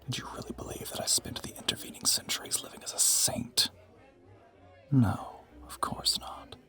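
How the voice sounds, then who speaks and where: A man's voice: moderate pace, bright, forceful tone, close to an everyday voice. A man playing an ancient, suave male vampire in an intimate audio roleplay, teasing and smug, with formal, theatrical phrasing. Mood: dark, quiet. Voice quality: low, breathy half-whisper.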